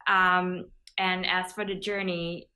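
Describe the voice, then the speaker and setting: A woman's voice: on the low side, flat delivery, slow, bright, forceful tone. Casual young woman on a video call. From Thailand. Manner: casual, many fillers like "um" and "you know". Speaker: guest, business founder. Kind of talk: interview answers.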